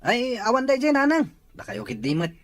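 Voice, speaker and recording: A woman's voice: fairly high pitch, huge pitch swings, brisk. A woman playing an evasive grown son, a working man, in an audio drama. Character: evasive adult son working away from family. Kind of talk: Ilocano audio drama acting.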